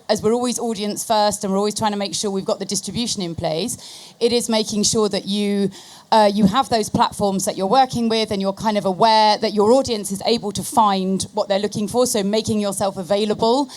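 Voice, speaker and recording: A woman's voice: medium pitch, fairly even intonation, fairly quick, darkish tone. A woman speaking on a panel as a media agency expert advising brands. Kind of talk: panel discussion talk.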